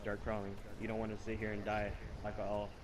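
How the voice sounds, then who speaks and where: A man's voice: fairly low pitch, monotone, fairly quick, a crowd in the background. A young man improvising a casual, rambling story over video game footage, earnest and repetitive as he goes. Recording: noisy home game-capture microphone.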